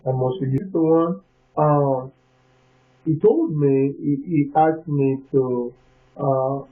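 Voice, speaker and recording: A man from Cameroon, telling his own story in a casual interview, halting and often checking in with the listener. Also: on the high side. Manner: halting, leans on "you know what I mean". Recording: dull lo-fi sound with faint music.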